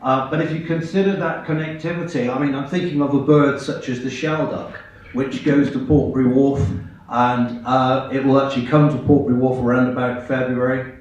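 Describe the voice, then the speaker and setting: A man with a hoarse voice from a cold, giving an earnest, halting presentation with slides at a public meeting. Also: mid-range voice, steady tone, darkish tone. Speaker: invited speaker at a public meeting. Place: large echoey meeting hall. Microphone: far from the microphone. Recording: echoey hall, distant, dull sound.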